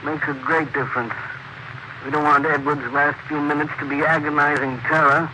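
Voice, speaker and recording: A man's voice: a little high, little pitch movement, normal speed, close to an everyday voice. Male actor: humane warden, firm and disapproving, vintage radio drama. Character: humane middle-aged male prison warden. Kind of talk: 1940s radio acting. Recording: noisy old radio broadcast.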